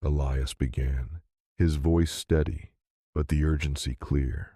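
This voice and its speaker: A man with an extremely deep voice narrates a science fiction audiobook. His tone is dark and mellow, the delivery scripted storytelling. The sound is dry and close. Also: steady tone, slow pace, slightly rough, no echo.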